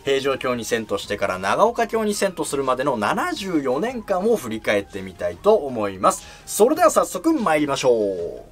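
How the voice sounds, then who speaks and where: A young man hosting a history channel, explaining events in a polite, casual way with drawn-out fillers, recorded on a lapel mic in a studio. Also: medium pitch, huge pitch swings, faint music behind.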